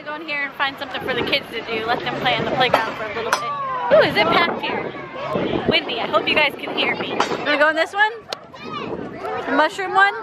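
High-voiced woman vlogging a noisy festival. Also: expressive, fast. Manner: chatty, narrating what the kids do. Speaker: vlogger filming her family. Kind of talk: casual family vlog narration. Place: outdoors at a busy, windy seafood festival. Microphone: handheld camera. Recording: outdoor festival with loud music and crowd. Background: loud crowd and music.

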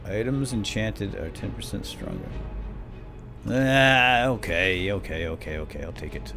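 A man's voice: lowish voice, lively intonation, measured pace, vocal fry. A man hosting a let's play with lightly role-played commentary, murmuring asides and breaking into exclamations. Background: game music.

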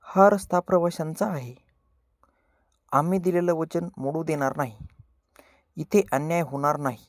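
A man's voice: a little high, unhurried, long pauses, no room sound, clean studio sound. Man voicing a firm, earnest young boy hero. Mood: firm, defiant. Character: brave, resolute young boy hero of principle.

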